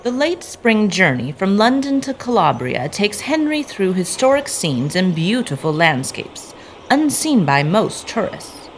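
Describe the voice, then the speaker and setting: A woman narrator reading a scripted dramatic tale with deliberate, suspenseful phrasing. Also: mid-range voice, sing-song, slight background noise, poor audio.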